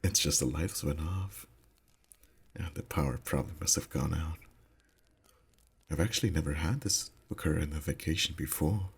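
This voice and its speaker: Very deep, soft-spoken boyfriend played by a man. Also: normal speed, gravelly, long pauses, husky, exaggerated voice. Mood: relaxed, musing. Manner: soft, intimate, slipping into whispers. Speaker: voice actor. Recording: faint ambient background noise.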